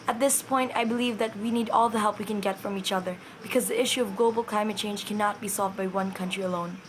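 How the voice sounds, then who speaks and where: A woman from the Philippines, reading a prepared statement in an earnest, measured way. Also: medium pitch, fairly even intonation, a little hiss or hum. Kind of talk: prepared statement read to camera.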